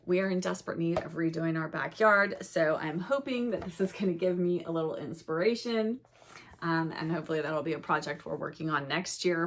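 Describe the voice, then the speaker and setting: A middle-aged woman chatting casually about her new books, her voice a little raspy from a cold. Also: low voice. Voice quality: soft-spoken, reads blurbs aloud. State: recovering from a cold, slightly raspy. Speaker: book vlogger. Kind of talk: casual book haul chat.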